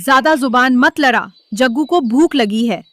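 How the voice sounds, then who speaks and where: Casting: cruel storybook stepmother, acted by a woman, high and sharp, scolding, dry recording. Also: normal speed, no room sound. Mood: harsh scolding. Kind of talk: dramatized cartoon storytelling.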